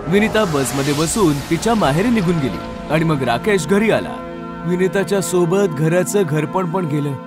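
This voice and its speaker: High-pitched, animated male horror narrator. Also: moderate pace. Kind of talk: dramatic scripted horror storytelling. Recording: clear music under narration.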